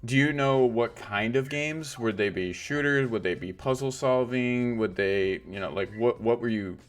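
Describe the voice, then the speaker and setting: A man's voice: medium pitch, normal speed, soft background music. A man hosting a casual livestream interview, rambling and saying "like" a lot. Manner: rambling, heavy use of "like".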